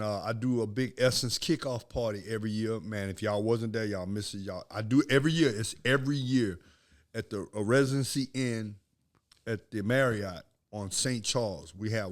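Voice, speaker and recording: A man co-hosting a podcast, telling a story in a loud, animated, casual way. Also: lowish voice. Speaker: podcast co-host. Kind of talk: casual chat.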